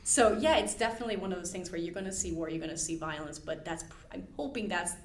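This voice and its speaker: A woman's voice: fairly low pitch, fast. A woman chatting on a podcast in a playful, emphatic, casual way. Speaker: podcast discussion partner. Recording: roomy echo, clear background noise.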